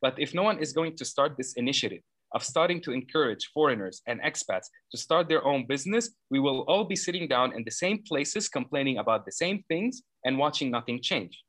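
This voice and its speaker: A man in his thirties from Lebanon, answering interview questions earnestly and at length, with many fillers and quick self-corrections. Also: mid-range voice, expressive, a bit bright, no room sound. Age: 30s. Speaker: program founder interviewed as guest.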